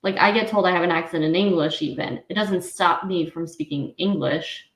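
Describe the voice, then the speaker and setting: A fairly low-voiced woman in her thirties with a New York–New Jersey accent hosts an interview, rambling a little with many ums and restarts. Her voice rings in a roomy, echoey space. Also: moderate pace. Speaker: podcast host. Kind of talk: interview chat. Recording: echoey room.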